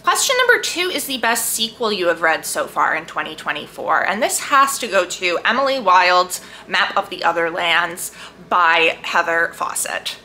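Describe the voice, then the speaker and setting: A woman book vlogger chatting casually and enthusiastically to camera, leaning hard on emphatic, drawn-out words. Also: fairly low pitch, sing-song, pushed and bright, slight background noise. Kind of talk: casual chat to camera about books.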